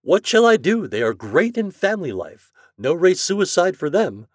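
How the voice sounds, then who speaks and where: A stubborn, grumbling Irish express agent acted out by a male narrator from a comic storybook. His folksy speech is emphatic and lifted high, swinging widely in pitch. The sound is close and dry. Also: big pitch swings, normal speed, no echo. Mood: exasperated. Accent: stage Irish.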